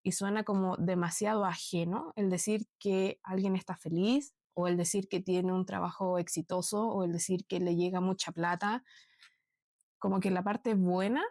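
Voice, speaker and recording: A woman in her late twenties from Chile, with a Chilean accent, talking earnestly and slowly to her viewers about her life, with many pauses and fillers. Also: medium pitch, a little mellow, dry recording, clean studio sound. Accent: Chilean Spanish. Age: 20s. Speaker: vlogger. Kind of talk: reflective personal talk to viewers.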